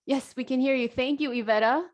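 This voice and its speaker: A woman in her forties, an executive coach hosting an online group coaching call, talking in an energetic, conversational way with many fillers. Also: high-pitched, fairly quick. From South Korea, raised in New Jersey. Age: 40s.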